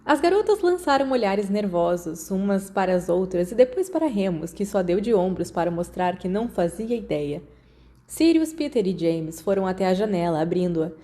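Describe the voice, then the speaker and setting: A woman's voice: medium pitch, lively intonation, normal speed, dark tone. A woman with a Brazilian accent reading a scripted story as an audiobook narrator.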